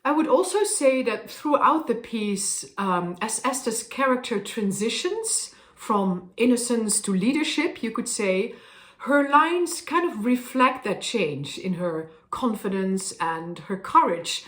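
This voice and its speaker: A woman's voice: a little high, animated, unhurried. Dutch woman singer in an echoey room. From Netherlands. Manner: thoughtful, explanatory, with soft fillers. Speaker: professional singer. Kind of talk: casual explanation to camera. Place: room with a harpsichord, indoors.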